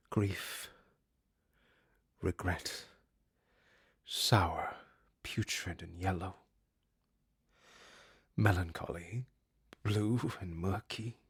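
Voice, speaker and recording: An actor playing a brooding, guarded musician with a breathy, husky voice in an introspective audio drama. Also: male voice, low-pitched, a bit bright, no room sound, clean studio sound, full character voice. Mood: hushed, poetic. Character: brooding, guarded male musician leaving his lover.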